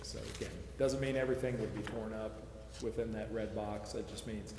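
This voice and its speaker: A man explaining details at a public meeting in a measured, qualifying way. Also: fairly low pitch, fairly even intonation. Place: large echoey meeting room, council chambers. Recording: echoey hall, background hiss.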